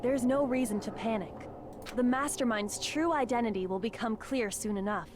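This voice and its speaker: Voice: mid-range voice, normal speed, background noise, close to an everyday voice. A woman voicing a composed, reassuring student detective, reading from a game script. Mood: measured, assured.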